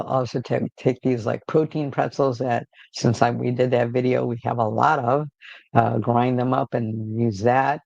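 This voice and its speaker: A man with a gravelly, creaky voice hosting a relaxed livestream from home. He rambles loosely, with lots of fillers and restarts. The audio is dry and a bit dull and lo-fi. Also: medium pitch, no room sound. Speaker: livestream host. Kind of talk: casual livestream chat.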